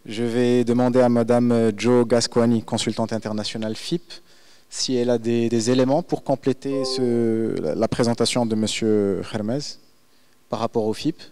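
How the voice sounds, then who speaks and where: Brief: polite male moderator with a warm, dark voice introducing speakers. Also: medium pitch, fairly even intonation, normal speed, clear, resonant tone, no echo. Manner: polite, hesitant with fillers. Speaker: conference moderator. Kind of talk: moderating an online conference.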